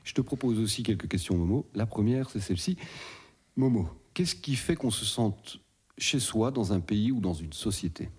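A male interviewer with a low, mellow voice and a husky grain. He asks open, conversational questions as the host. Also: lively intonation, normal speed.

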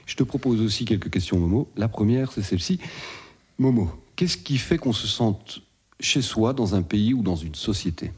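A man hosting an interview, asking measured, guiding questions. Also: on the low side, lively intonation, slightly rough. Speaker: interviewer, radio host.

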